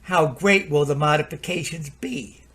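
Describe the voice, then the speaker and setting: A man playing a harried, excitable computer repairman in a radio play, halting and exclamatory, with folksy turns of phrase. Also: fairly high pitch. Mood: probing, insistent.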